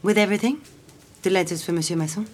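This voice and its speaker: An actress playing a polite young office secretary in an audio drama, who also narrates in the first person. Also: female voice, fairly low pitch, fast talker, darkish tone, a little hiss or hum, lightly acted. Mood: bright, attentive. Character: polite, fond French office secretary, engaged young woman. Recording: music behind the voice.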